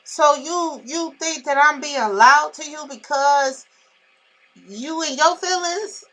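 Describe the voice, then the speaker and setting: Female vlogger, naturally loud, forceful and high-pitched, blunt emphatic delivery for personal storytime venting. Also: slow, rough recording. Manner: blunt, emphatic, animated retelling with repetitions.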